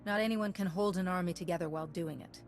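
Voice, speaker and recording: A woman's voice: fairly low pitch, quick pace, faint music behind, natural voice. An actress playing a composed, serious female military adviser in a video game. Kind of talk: dramatic video game acting.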